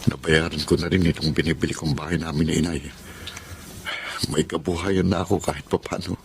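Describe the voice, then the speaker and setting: An actor playing an earnest, hard-pressed Filipino son who works as a hired killer, in an audio melodrama. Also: male voice, extremely low pitch, fairly even intonation, fairly quick. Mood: brooding. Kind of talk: Filipino radio-style melodrama acting. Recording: clearly noisy background.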